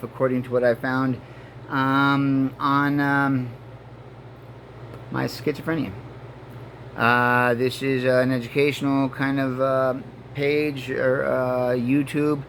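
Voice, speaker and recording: A man in his forties from Mexico and Colorado, a native Spanish speaker, talking in a halting, earnest personal monologue full of fillers and restarts. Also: mid-range voice, slight background noise. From Mexico and Denver, Colorado. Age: 40s. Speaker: vlogger. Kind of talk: rambling personal monologue to camera.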